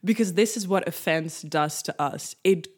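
A woman's voice: fairly low pitch, moderate pace, darkish tone. A young woman from the Netherlands, Dutch as her first language, speaking English with a Dutch accent. She gives a devotional talk straight to her viewers and acts out her own reactions in a chatty way. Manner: conversational, addresses viewers directly.

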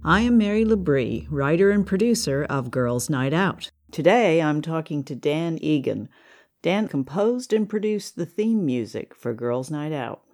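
A middle-aged woman hosting a podcast interview and introducing her guest, warm and easily moved. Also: low-pitched, animated, unhurried, soft background music. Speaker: podcast host and producer.

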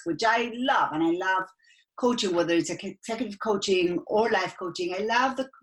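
A woman in her forties from Argentina with an Argentine Spanish accent, a guest executive coach speaking in a podcast interview, fluent and animated. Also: medium pitch. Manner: fluent and animated, with fillers like "you know" and "like".

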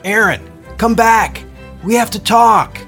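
Dude doing a smooth pleading guy hollering up, pitched higher than normal. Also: big pitch swings, a little slow, faint music behind. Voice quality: loud, pleading. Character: smooth, pleading man on a dock. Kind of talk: audiobook character voice.

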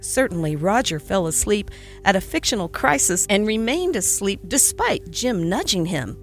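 A woman narrates a classic story aloud with expressive, lilting delivery. Her pitch moves in a lively way through each sentence. Quiet music plays in the background. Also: moderate pace. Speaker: podcast host and story narrator.

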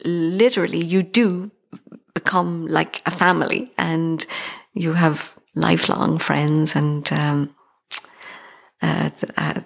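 An Irish woman, an actress from Ireland, talking thoughtfully in an interview and laughing softly between thoughts. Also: deep voice, fairly even intonation, slow, creaky. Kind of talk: reflective conversational interview.

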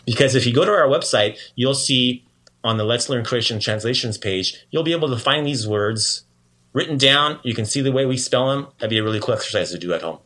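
A man's voice: mid-range voice, fairly bright tone. A man hosting a podcast language lesson in a casual, playful and encouraging way. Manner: encouraging, praising the learner often.

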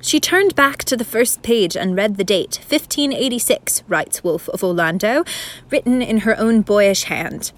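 A woman's voice: medium pitch, animated, a little slow, close to an everyday voice. A woman playing a learned narrator in a radio play, reading scripted narration in a formal, lecturing manner. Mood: formal, didactic. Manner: formal, didactic. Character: learned, lecturing female narrator who interrupts the scene.

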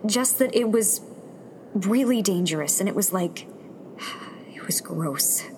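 A woman playing a thoughtful, self-doubting young rebel in a sci-fi radio drama, with a soft-spoken, hesitant manner. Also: mid-range voice, lively intonation, takes it slowly, a little hiss or hum. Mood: uneasy, explaining.

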